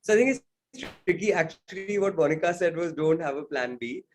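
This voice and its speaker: A man's voice: a little high, animated, brisk. A young man from India, a singer-songwriter, answering interview questions thoughtfully, with frequent fillers and "right?" tags.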